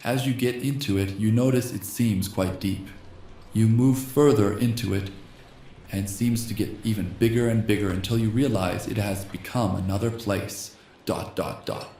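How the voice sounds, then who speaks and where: A slightly hoarse man hosting a gaming channel, reading a text adventure game aloud in a soft ASMR style and speaking the punctuation marks. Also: on the low side, steady tone, normal speed, reverberant, slight background noise. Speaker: host.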